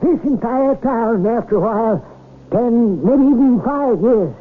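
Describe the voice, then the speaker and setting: An actor playing an earnest, alarmed former research chief giving insistent, ominous warnings in an old-time radio drama. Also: male voice, very high-pitched, lively intonation, a little slow, heavily acted. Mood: ominous, agitated. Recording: muffled old radio broadcast recording.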